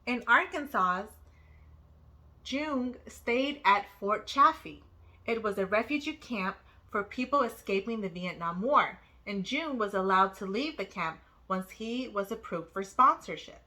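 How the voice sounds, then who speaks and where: A woman narrating a children's storybook aloud, with expressive, deliberate phrasing. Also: medium pitch, rough recording. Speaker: children's book read-aloud host.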